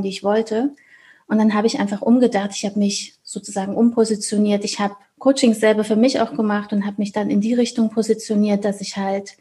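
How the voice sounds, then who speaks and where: A woman's voice: fairly high pitch, mellow. A woman from Thuringia in Germany, a business coach, explaining her work in a casual conversational way as a podcast guest.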